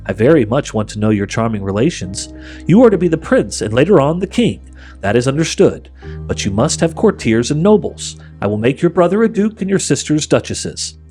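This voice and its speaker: A man's voice: mid-range voice, very animated, fairly quick, darkish tone, soft background music, lightly acted. A man voicing a sly, coaxing witch queen for a storybook, speaking in a grand, persuasive, commanding way. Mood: coaxing, regal. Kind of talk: audiobook character voice.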